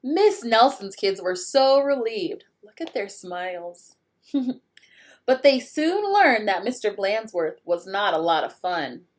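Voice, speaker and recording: A woman reading a picture book aloud to young children in an expressive way, pausing to ask the listeners questions. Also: mid-range voice. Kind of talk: animated children's read-aloud with asides to the listeners.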